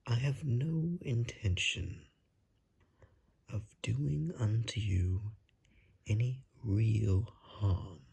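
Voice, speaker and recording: Suave ASMR vampire, acted by a man: very breathy and husky, unhurried, politely menacing and seductive. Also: mid-range voice, dark tone, heavily acted. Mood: soothing, amused. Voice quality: breathy, husky, half-whispered. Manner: courtly, drawn-out, seductive menace. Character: suave, predatory vampire, coaxing and darkly polite. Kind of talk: ASMR roleplay, slow and whispery.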